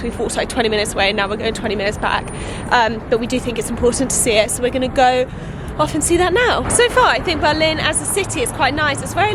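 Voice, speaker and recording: Woman travel vlogger, stuffy-nosed from a cold, casual and chatty, slightly high voice, recorded outdoors with street noise. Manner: chatty, with filler words and laughs. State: congested from a cold. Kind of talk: casual travel vlog chat.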